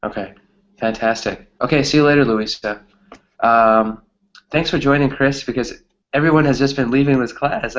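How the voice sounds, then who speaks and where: Man, English teacher, conversational lesson, frequent check-in tags, medium pitch, dull online-call sound. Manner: checks in often with "right?".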